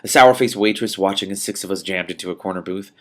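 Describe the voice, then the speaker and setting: A man reading a novel aloud as host, in an expressive style with emphatic phrasing and off-the-cuff commentary. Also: sits low, quick pace. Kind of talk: expressive audiobook-style reading with spontaneous reactions.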